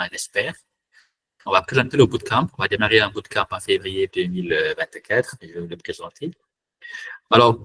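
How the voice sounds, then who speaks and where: A man's voice: fairly low pitch, sharp tone, a little hiss or hum, rough recording. A man from Cameroon hosting a live online tech meetup, talking hesitantly with many fillers and restarts. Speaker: meetup host and trainer.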